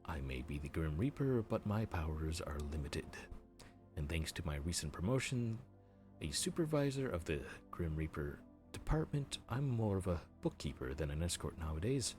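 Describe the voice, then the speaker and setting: Man voicing a grim reaper, low voice, very lively pitch, chatty, bantering, flustered, audio roleplay. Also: normal speed, soft background music. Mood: businesslike. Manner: chatty, bantering and a bit awkward. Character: friendly, flustered male grim reaper supervisor. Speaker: voice actor.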